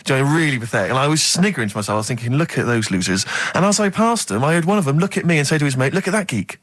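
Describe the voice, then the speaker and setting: English man, radio co-host, rapid talker, animated pitch, self-deprecating anecdotes, casual banter. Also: dry recording. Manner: mock-indignant, self-deprecating storytelling. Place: radio studio.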